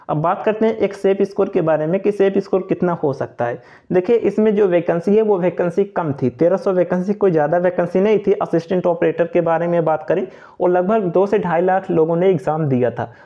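A young man giving an informal explainer voice-over, going over points repeatedly. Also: high voice. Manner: repetitive, explanatory. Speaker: exam-update channel presenter. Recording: dull, lo-fi voice recording.

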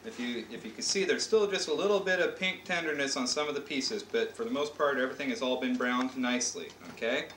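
An American man with a mid-pitched voice presents a casual cooking demonstration in a playful, step-by-step way. He is recorded on a home camcorder in an echoey kitchen, with clear noise around his voice. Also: fast talker, hardly any pauses, fairly bright tone. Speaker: father hosting a home cooking show. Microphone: camcorder mic a few feet away.

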